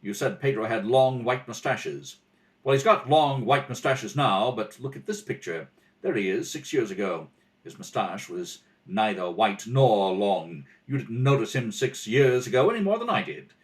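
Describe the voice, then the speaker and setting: A man playing a shrewd, composed detective in a radio mystery, measured, pointed and wry. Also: mid-range voice, sing-song, natural voice. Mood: coolly accusing. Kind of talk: old-time radio mystery acting.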